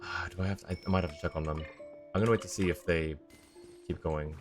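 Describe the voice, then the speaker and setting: Male game streamer, low-pitched, chatty and casual, faint music underneath. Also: fairly even intonation, fast. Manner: chatty, talking to viewers and himself. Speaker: live streamer. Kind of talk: casual gameplay stream commentary.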